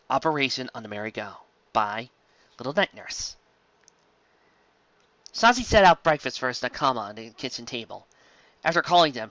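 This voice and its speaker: A woman hosting and narrating a fan story, in an animated style. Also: rumbling low, no room sound, lo-fi sound. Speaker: host and story reader.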